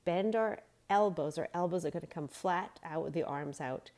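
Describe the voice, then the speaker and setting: A woman reading a storybook aloud as a children's yoga teacher, giving simple, gentle guiding instructions. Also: lowish voice, normal speed.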